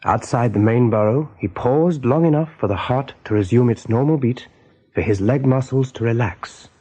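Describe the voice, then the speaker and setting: A man narrating a radio play in a measured, literary storytelling manner. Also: medium pitch, mellow, slightly rough, highs cut off.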